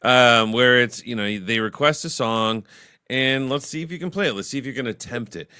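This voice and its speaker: A man's voice: normal speed, bright, forceful tone, clean tone, no room sound, studio quality. A man hosting a livestream in casual, rambling chat, full of crude jokes and filler words. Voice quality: baritone. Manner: rambling, crude joking banter. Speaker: livestream host.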